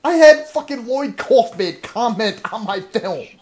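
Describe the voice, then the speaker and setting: Dude hosting a loose sweary show, lively voice, emphatic, says stuff twice. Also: male voice, very high-pitched, a little slow. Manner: emphatic, repeats himself. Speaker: show host. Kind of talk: casual, profane podcast chat.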